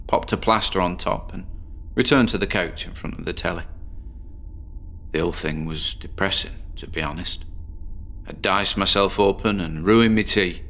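A morbid British loner from a shabby bedsit, confessing in a horror audio drama. His voice is low, and he rambles wearily and hesitantly, full of self-deprecation. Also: a bit bright. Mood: glum.

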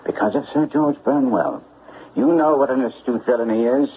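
A man's voice: mid-range voice, moderate pace, close to an everyday voice. An actor playing a shrewd, self-assured male detective in a classic radio drama, speaking precisely and formally. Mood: assured, explaining. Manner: precise, formal, incisive delivery. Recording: phone-like old radio recording, faint noise.

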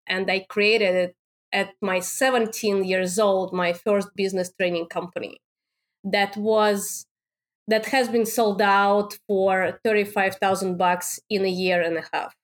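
A woman from Russia with a Russian accent, speaking halting non-native English as a guest in a conversational interview. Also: mid-range voice, steady tone. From Moscow, Russia. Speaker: podcast guest, business coach.

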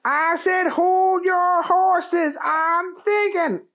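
A storyteller voicing Keith, an excitable folksy cowboy man who talks in a very high, slow drawl. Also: muffled recording, exaggerated voice. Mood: excited. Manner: drawn-out hollering, very high.

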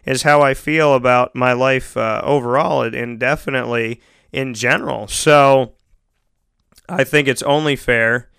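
A man's voice: mid-range voice, fairly even intonation, unhurried, vocal fry, fairly bright tone, smooth, no echo, clean studio sound. An American man hosting a casual radio show, talking in a rambling, emotional, self-interrupting way.

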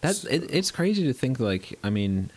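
A man's voice: medium pitch, big pitch swings, normal speed. A young man co-hosting a casual movie podcast, stuffy and scratchy from a cold, rambling with many fillers. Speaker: podcast co-host.

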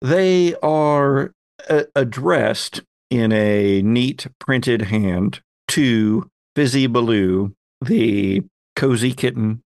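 A man acting as game master, narrating a tabletop story off the cuff with measured, hesitant pauses. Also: mid-range voice, darkish tone, no room sound, clean studio sound.

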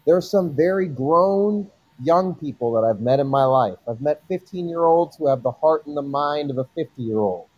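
A man's voice: on the high side, lively intonation, moderate pace, hardly any pauses, no echo, lo-fi sound. A man speaking as a guest, giving an earnest, encouraging motivational message. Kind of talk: motivational speech.

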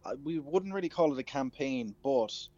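A man's voice: medium pitch, animated, moderate pace, a little mellow, dry recording. A man with an Irish accent talking earnestly and conversationally as a musician guest on a radio phone interview. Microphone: telephone. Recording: muffled phone line.

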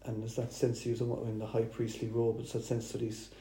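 A man, a church planter, talking over dinner in a thoughtful, halting way. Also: medium pitch, monotone, mellow. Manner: halting, thoughtful, restarts phrases. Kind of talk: casual dinner table chat. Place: dining room. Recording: roomy with faint noise.

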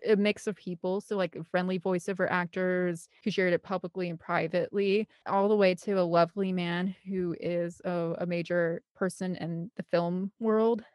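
A woman speaks as an interview guest in a slightly low voice, recorded close and dry. Her delivery is conversational and candid, wandering through asides in an earnest way. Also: fairly even intonation, normal speed, few pauses, darkish tone, no room sound. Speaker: interview guest, film composer and director.

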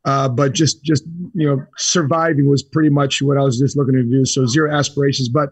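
Husky guy on a podcast, kinda high voice, short punchy lines, dry sound. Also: fairly quick, no echo, rough recording. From United States. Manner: steady, speaks in short punchy phrases. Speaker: guest. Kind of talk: casual interview.

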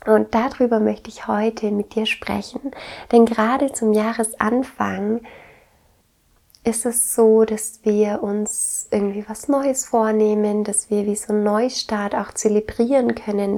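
Slow-speaking woman with a stuffy nose. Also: medium pitch, gravelly, dark tone. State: congested with a cold, stuffy nose. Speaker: podcast host. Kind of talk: reflective wellness podcast monologue.

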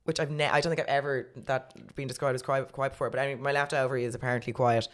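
A woman's voice: extremely low pitch, fairly even intonation, racing. An Irish woman in her thirties, chatting candidly and telling stories in a frank, self-mocking way. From Ireland. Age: 30s. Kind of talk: candid casual chat.

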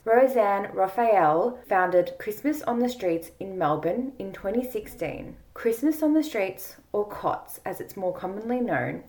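A woman's voice: medium pitch, darkish tone. A woman hosting a podcast, reading a scripted introduction and sponsor message, then asking interview questions. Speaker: podcast host.

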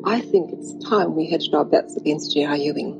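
An actress playing a shrewd, pragmatic conspirator in a TV drama. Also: female voice, low-pitched, moderate pace, vocal fry, music in the background, poor audio.

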